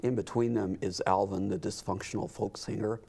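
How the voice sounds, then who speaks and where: Talk show guest: American man, deep husky voice, casual delivery with hesitant pauses. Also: fairly even intonation, mellow. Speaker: community theater representative, talk show guest.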